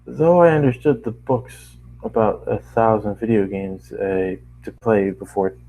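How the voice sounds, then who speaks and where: A man's voice: fairly low pitch, narrow, tinny audio. A man reading a first-person story aloud as an amateur narrator, often tripping over lines and starting them again. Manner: stumbles over lines and rereads them, apologising. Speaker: amateur fiction reader and narrator.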